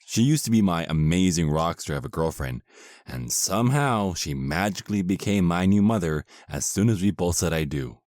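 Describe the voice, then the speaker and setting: Man acting deep-voiced, sarcastic young vampire husband. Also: darkish tone, dry recording, clean studio sound. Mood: bitter, exasperated. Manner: sarcastic, complaining. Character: exasperated, sarcastic male vampire husband, centuries old, looks twenties. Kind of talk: comedic audio roleplay acting.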